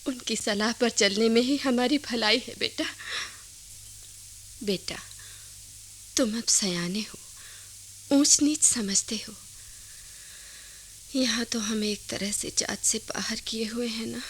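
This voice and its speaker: High-pitched, tremulous poor village mother. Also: takes it slowly, long pauses, breathy edge. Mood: hushed, pleading. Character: poor tearful village mother, humble and devoted. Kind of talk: melodramatic radio play acting.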